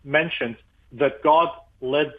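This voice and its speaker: A man's voice: a little high, slow. A man, a pastor whose first language is Arabic, explaining history emphatically as a guest in a radio interview, with many fillers. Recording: tinny phone line.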